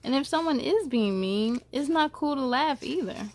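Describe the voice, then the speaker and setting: A woman's voice: high-pitched, animated, moderate pace. A woman hosting a children's story time, reading aloud expressively and speaking to young listeners in an encouraging way.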